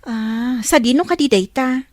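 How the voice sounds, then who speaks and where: A woman's voice: sits high, unhurried, dry recording. An actress playing a curious, chatty female friend in an Ilocano radio drama.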